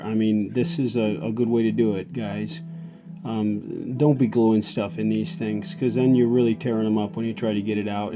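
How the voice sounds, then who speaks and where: A man giving a casual hands-on repair demonstration as a show host, thinking aloud in a folksy, unhurried way. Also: fairly low pitch. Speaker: host of a vintage audio repair channel.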